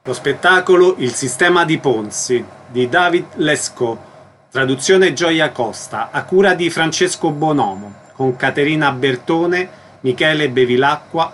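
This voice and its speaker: A male radio host with a fairly high voice. He reads scripted announcements and puts interview questions to a guest. Faint noise runs behind him. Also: fairly bright tone.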